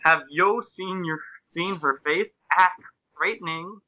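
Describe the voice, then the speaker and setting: A man's voice: high-pitched, slow pace, sharp tone, rough recording. A man reading fan fiction and reader comments aloud in a casual, comic podcast style.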